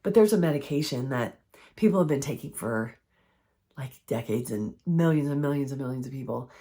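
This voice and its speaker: A woman with a froggy, hoarse voice from recent illness, giving an earnest, conversational faith-based health teaching. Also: very deep voice, animated, fairly quick, mellow. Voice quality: froggy, strained voice.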